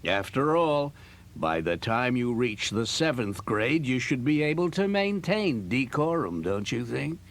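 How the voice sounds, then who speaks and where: Stern, pompous schoolteacher, medium pitch, very varied intonation, children's audio drama. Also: male voice, very animated. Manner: pompous, deliberate, formal. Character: stuffy, stern male schoolteacher lecturing his class.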